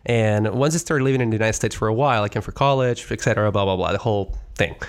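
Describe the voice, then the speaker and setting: A man's voice: on the low side, smooth. Man hosting, reflective. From Mexico. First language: Spanish. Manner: reflective, halting restarts. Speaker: podcast host. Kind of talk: conversational interview.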